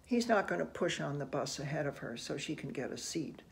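An older person reading a picture book aloud to children, gently and unhurriedly, with musing asides. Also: mid-range voice, animated, gravelly, a little hiss or hum. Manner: gentle, unhurried, musing with soft hmms and ohs. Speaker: children's read-aloud host. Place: small room indoors at home.

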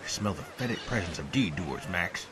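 A man's voice: low voice, animated, normal speed, recorded from a distance. A man playing a wry, wordy, unflappable dog detective in a comic dub, with music behind.

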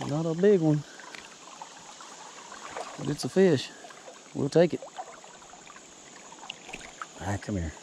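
A man's voice: a little high, sing-song, gravelly, mellow. A man filming himself while wading a river, talking casually about his fishing and muttering to himself between casts. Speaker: fishing vlogger. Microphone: body-worn camera. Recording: outdoor camera audio with river noise. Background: rushing river water.

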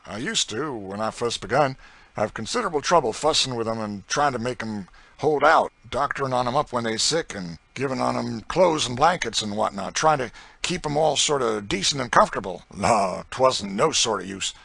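A man voicing a brutal, boastful planter with a rural Southern accent, loud and swaggering. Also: medium pitch, animated, moderate pace, gravelly, breathy edge, no room sound, pitched above the performer's own voice, heavily acted. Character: brutal boastful male slave-driving planter.

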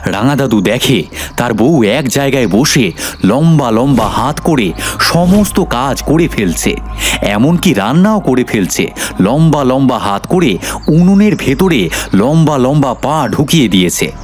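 A man's voice: mid-range voice, sing-song, measured pace, faint music behind. A man narrating a cartoon folk tale in a dramatic storytelling style.

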